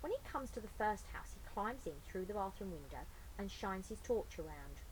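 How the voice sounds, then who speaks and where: A woman with a mid-pitched voice tells stories to children in an informal, expressive way. She is recorded in a greenhouse, with clear ambient noise behind her. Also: normal speed, rough recording. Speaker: children's story reader. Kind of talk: informal chat and expressive storybook reading for children. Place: inside a garden greenhouse.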